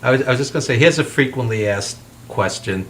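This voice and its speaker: A man's voice: medium pitch, fast talker, a little hiss or hum. Playful male co-host. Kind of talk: casual talk-show interview.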